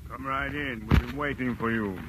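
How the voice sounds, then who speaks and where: An actor playing a mock-polite, calmly threatening crime boss in a 1940s-style radio drama. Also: male voice, medium pitch, moderate pace, lightly acted. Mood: mock-genial. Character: smooth, menacing male crime syndicate boss. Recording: old radio recording, faint noise.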